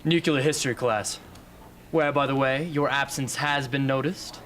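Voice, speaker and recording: Man playing earnest young rebel, medium-pitched voice. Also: fairly even intonation, moderate pace, fairly bright tone, slight background noise, natural voice. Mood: lighter, urging. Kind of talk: amateur radio drama acting.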